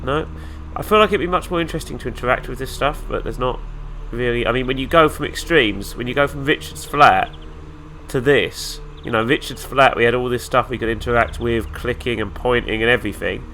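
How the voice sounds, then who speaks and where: A man's voice: medium pitch, a little fast, sharp tone, slight background noise, poor audio. A man with a nasal, flu-stuffed voice, giving casual let's-play commentary with dry, sarcastic asides. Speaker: gaming YouTuber.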